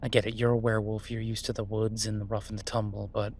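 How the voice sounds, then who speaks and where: A man's voice: fairly low pitch, flat delivery, fast talker, darkish tone, slight background noise, close to an everyday voice. A man acting as an easygoing chef talking to a partner in a naturalistic audio roleplay, conversational, hesitant and wry. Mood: gentle reassurance. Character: Beau, easygoing male chef, reassuring his werewolf partner. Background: ambient sound effects.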